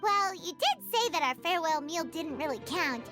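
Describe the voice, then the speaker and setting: Tiny squeaky fairy kid sidekick, super high, bouncy, excitable, video game style w music behind. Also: female voice, normal speed. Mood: animated, fretful. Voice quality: squeaky, childlike. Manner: speaks of herself in third person, exclaiming. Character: small floating fairy girl companion, chirpy and excitable.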